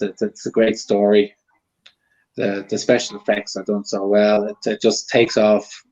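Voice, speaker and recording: A man's voice: lowish voice, a little mellow, dull audio. A man chatting casually as a panel guest, giving his opinions on films. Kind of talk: casual film debate.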